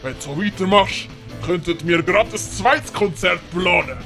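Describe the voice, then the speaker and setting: A woman's voice: on the low side, moderate pace, fairly bright tone, soft background music, pitched below the performer's own voice. A woman with a Swiss accent voicing a pompous, scheming older great-uncle in a children's audiobook. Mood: stern. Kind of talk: dramatised German-language children's audiobook.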